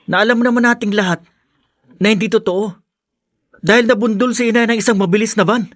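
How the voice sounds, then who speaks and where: An earnest young brother in a podcast drama. His voice is high for a man and very dry, with a serious, reproachful, questioning manner. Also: fairly even intonation, fairly quick, fairly bright tone, no echo. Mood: pensive. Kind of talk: Filipino podcast radio drama acting.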